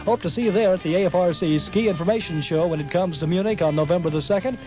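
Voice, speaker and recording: A man's voice: high voice, quick pace. An American man reading a scripted promotional radio spot as an announcer. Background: faint music.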